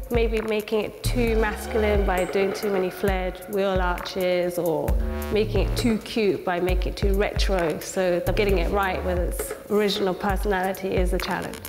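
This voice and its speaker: A woman speaks in an interview as a design juror, at a medium pitch. Her voice sits in a strong echo with music clearly behind it. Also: fairly even intonation, moderate pace. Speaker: graphic designer and jury member. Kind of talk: interview answers. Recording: echoey, long reverb, music underneath.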